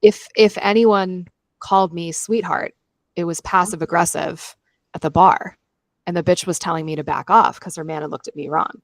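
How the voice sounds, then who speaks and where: A woman's voice: fairly low pitch, steady tone, normal speed, gravelly, dry recording. Casual woman podcast co-host, dry close sound.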